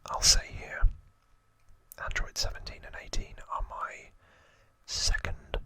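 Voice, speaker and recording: A man hosting an ASMR video, whispering trivia in a breathy, unvoiced, soft and informal way. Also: no room sound. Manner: soft, unhurried, informal retelling.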